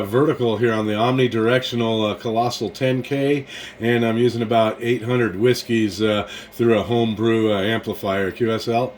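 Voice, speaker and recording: A man, an amateur radio operator making a casual, laid-back, folksy contact. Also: medium pitch. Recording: faint background noise in radio room.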